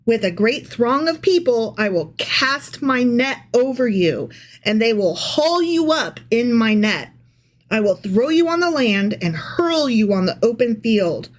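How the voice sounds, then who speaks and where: A woman's voice: fairly high pitch, sing-song, unhurried. A woman co-hosting a casual podcast, talking bluntly and emphatically and swearing freely. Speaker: podcast co-host. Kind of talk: casual, profane podcast banter and Bible read-aloud with commentary.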